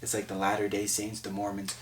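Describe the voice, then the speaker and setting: A man's voice: lowish voice, fast talker, distant mic. A young man from New Jersey with a light South Jersey accent, hosting a casual podcast chat, slangy and full of swearing, telling stories with lots of energy. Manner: slangy, swears a lot, animated storytelling. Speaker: podcast host.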